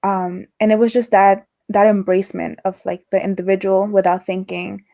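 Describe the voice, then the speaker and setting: A woman's voice: medium pitch, level tone, normal speed, gravelly, dark tone. A young woman who stutters, repeating and breaking off words as she talks about her own experience in a casual interview. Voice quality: stutters, with repetitions and broken-off words. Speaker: interview guest. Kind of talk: casual conversation over a livestream.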